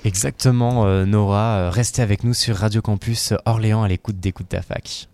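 A man's voice: fairly low pitch, fairly even intonation, moderate pace. A man hosting a radio show in a casual, conversational live style. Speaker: radio host.

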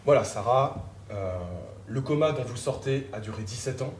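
A man acting a calm, formal doctor figure in a play, speaking in a measured, careful, hesitant way. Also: mid-range voice, close to an everyday voice. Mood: grave, careful. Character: calm, formal male doctor figure breaking hard news. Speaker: stage actor in a play. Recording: roomy, echoing, noisy stage recording.

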